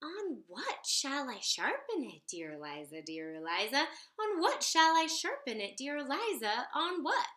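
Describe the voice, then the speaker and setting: A woman reading a children's picture book aloud in an expressive, theatrical sing-song way. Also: high voice, measured pace, hardly any pauses. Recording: roomy, echoey sound.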